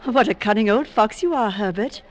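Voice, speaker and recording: A woman's voice: mid-range voice, lively intonation, brisk, a little mellow, natural voice, rough recording. A woman plays a young bride in an old radio drama. Her voice swoops freely, playful and coy, with an innocent curiosity and affection. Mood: coy, delighted. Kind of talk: vintage radio drama acting.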